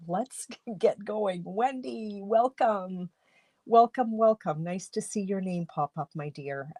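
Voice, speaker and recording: A woman from Canada hosting a casual craft livestream from her home studio, congested with a cold, chatty and rambling, often clearing her throat. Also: lowish voice, darkish tone, no room sound. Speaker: livestream host and stamping demonstrator.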